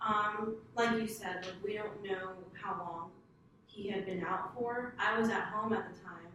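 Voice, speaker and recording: A woman whose first language is Tagalog, answering questions about her husband in a clinical interview, hesitant and soft-spoken with many fillers. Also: medium pitch, fairly even intonation, measured pace. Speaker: client's wife in case history interview. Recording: echoey room, distant mic, audible noise.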